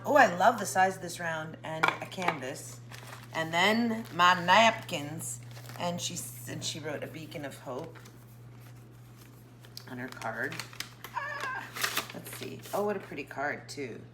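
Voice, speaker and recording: A woman hosting a casual craft vlog, a little hoarse, chatting excitedly as she opens a package. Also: medium pitch, takes it slowly, background noise. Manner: chatty, excitable, jokes with a pet. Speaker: craft channel host.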